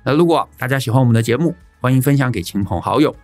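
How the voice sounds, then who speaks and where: A man speaks Taiwanese Mandarin in a mid-pitched voice, as a podcast host would. He reasons aloud in a conversational monologue, posing questions and answering them. Key phrases come back a second time for emphasis. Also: racing, a little mellow, faint music behind.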